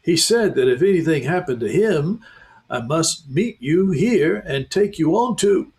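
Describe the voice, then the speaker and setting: A man voicing a wary, secretive male beaver in a hoarse, throaty whisper, pitched well above his own voice. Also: lively intonation, a little slow. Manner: urgent, hushed, raised higher.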